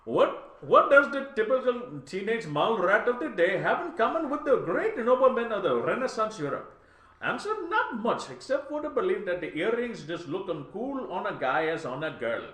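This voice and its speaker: A man voicing an Indian man reading aloud in a comic, halting, sing-song accent. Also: high-pitched, very animated, fairly bright tone, pitched above the performer's own voice. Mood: comic recital. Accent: put-on Indian. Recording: roomy, echoey live webcast.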